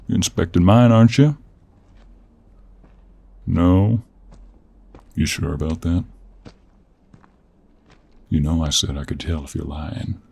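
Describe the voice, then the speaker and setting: Man acting a cowboy monster hunter, deep gravelly voice, unhurried Western American drawl, gruff, teasing, intimate fantasy audio roleplay. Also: steady tone, darkish tone, heavily acted. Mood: teasing, easygoing. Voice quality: gravelly, husky.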